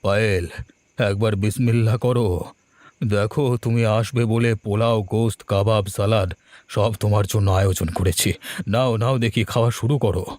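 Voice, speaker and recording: A man's voice: on the low side, fairly even intonation, moderate pace, darkish tone, no room sound, close to an everyday voice. A man voicing a doting, fearful village father in a cartoon, speaking in a tender, uneasy way. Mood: affectionate. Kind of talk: cartoon voice acting.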